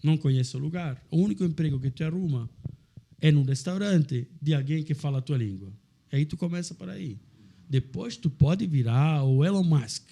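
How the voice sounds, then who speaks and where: An Italian man of middle age, a restaurant owner telling his story in a casual chat, animated and laughing as he talks. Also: medium pitch. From Italy.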